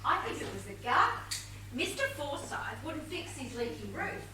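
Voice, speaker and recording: Actress as housemaid suspect, indignant, stage play, distant, very echoey theatre recording, faint noise. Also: female voice, high-pitched, very animated, normal speed, sharp tone, heavily acted. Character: young-sounding housemaid, indignant murder suspect. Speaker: stage actor. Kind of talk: amateur one-act stage play acting. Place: indoor theatre stage before an audience.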